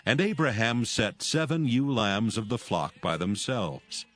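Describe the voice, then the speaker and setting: A man's voice: medium pitch, big pitch swings, unhurried, fairly bright tone. A man narrating scripture as a scripted reading.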